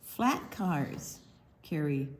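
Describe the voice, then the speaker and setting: A woman's voice: low-pitched, very animated, very slow, a little hiss or hum. An older woman reading a picture book aloud to young children, drawing out words expressively and adding explanatory asides. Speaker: storytime reader.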